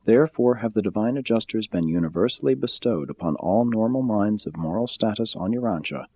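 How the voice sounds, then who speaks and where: A man with a low, mellow voice reads formal spiritual prose like an audiobook narrator. His delivery is measured and solemn, with a slightly husky grain. The recording sounds a little dull. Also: expressive, dry recording. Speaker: audiobook-style reader. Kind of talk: formal scripted reading of a spiritual text.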